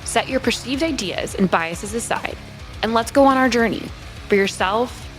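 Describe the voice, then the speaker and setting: A woman reading a scripted show introduction in a calm, measured way. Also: on the high side. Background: faint music.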